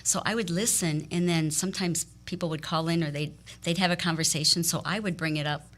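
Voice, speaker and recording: Woman, low-pitched, radio host, casual chat, laughs a lot. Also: brisk. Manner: animated storyteller who laughs often. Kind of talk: casual chat, telling stories.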